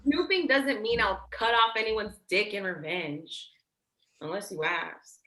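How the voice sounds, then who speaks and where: A woman's voice: mid-range voice, unhurried, noisy background, lightly acted. A woman acting a blunt, teasing, protective young woman in a staged play reading, with casual, sweary, sardonic delivery. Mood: protective, prodding. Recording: roomy, echoey sound.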